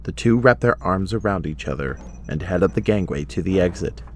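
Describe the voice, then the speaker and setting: A man's voice: low voice, expressive, fairly quick, a little hiss or hum. A man reading scripted narration for an audio drama.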